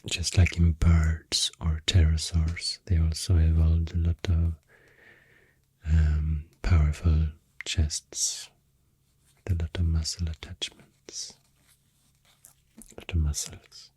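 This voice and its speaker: Soft whispery swedish guy doing asmr, really dark low voice, rambles along slowly up close. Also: completely flat delivery, no room sound. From Sweden. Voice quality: soft, breathy, often whispery. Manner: unhurried, hesitant, explanatory. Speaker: ASMR creator. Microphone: close microphone. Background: pencil writing and clicking sounds.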